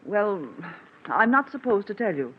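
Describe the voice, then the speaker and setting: An actress playing a stern, fed-up housekeeper with a sharp, scolding manner in 1940s radio acting, on a muffled old recording. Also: female voice, medium pitch, sing-song, measured pace, slight background noise. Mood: exasperated.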